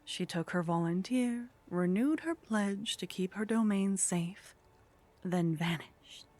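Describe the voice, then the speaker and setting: A woman's voice: mid-range voice, slow, darkish tone. Woman playing a languid, teasing vampire lady, ASMR. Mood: coolly explaining. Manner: languid, teasing, haughty, often dropping into whispers. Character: teasing, condescending female vampire, sly, centuries-worldly contact. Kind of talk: ASMR roleplay, soft intimate acting.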